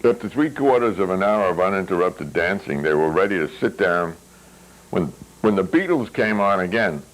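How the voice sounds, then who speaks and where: Very low-voiced man reading fiction. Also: expressive, moderate pace, rough recording. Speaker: author reading their own novel. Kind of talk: literary reading with spoken asides.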